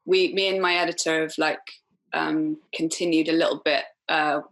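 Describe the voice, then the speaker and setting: Low-voiced woman, chatty. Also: steady tone, normal speed, fairly bright tone. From Wales. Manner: chatty, many fillers, frequent laughs. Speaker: film director guest. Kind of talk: casual podcast chat.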